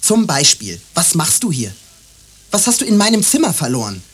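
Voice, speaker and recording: A man's voice: fairly high pitch, wildly swooping pitch, moderate pace, fairly bright tone, husky, heavily acted. An actor in a German radio play playing a moody young man who is brooding, volatile and insistent. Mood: furious, threatening. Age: young adult. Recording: faint background noise.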